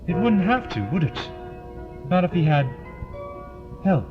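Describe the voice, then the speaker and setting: Guy doing a fussy eccentric time traveller, really high voice swooping all over, music under it. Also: sing-song, a little slow. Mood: pondering. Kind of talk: audio drama acting. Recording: dull lo-fi audio drama, loud music underneath.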